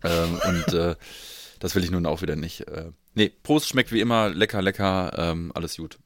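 A man's voice: deep voice, moderate pace, fairly bright tone, no room sound. Casually rambling man, dry close sound. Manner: rambling, jokey storytelling. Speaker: podcast host. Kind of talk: casual podcast banter and anecdotes.

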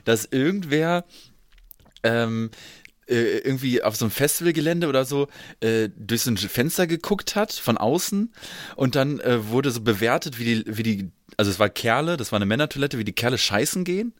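A man's voice: medium pitch, lively intonation, moderate pace, no echo, clean studio sound. A man hosting a podcast, chatting casually and telling stories in a rambling, jokey way. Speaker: podcast host. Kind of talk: casual podcast banter and anecdotes.

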